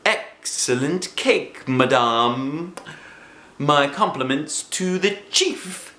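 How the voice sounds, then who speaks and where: A man voicing a self-assured, excitable little kindergarten girl who tells her storybook tale in emphatic childlike grammar. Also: on the high side, extremely slow, reverberant, pitched above the performer's own voice, heavily acted. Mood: proud, sweet. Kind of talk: first-person children's storybook reading.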